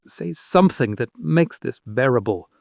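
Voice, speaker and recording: A man's voice: medium pitch, animated, normal speed, vocal fry, darkish tone, no echo, clean studio sound. A man voicing a fierce, anguished king in love in a dramatic story, impassioned and pleading.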